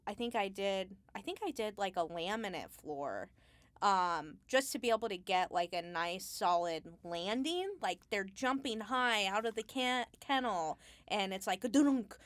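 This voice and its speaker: A woman speaking casually as an interview guest, explaining her craft in a halting, thinking-aloud way. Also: medium pitch, no room sound. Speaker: Foley artist, interview guest.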